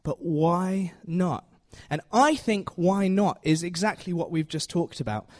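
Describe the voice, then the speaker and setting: Man preaching informally, higher-pitched voice. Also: expressive, unhurried, darkish tone. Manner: conversational, self-deprecating, checks in with listeners. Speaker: preacher giving a Bible talk to a congregation. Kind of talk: informal teaching sermon.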